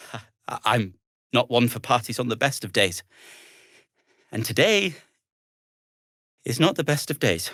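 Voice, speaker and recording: An actor playing an earnest, fussy, melancholy gnome inventor in a video game, with a hesitant, wordy and old-fashioned polite way of talking. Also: male voice, mid-range voice, big pitch swings, slow, pauses often, fairly bright tone, dry recording, clean studio sound. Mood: mournful, halting. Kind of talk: video game character dialogue.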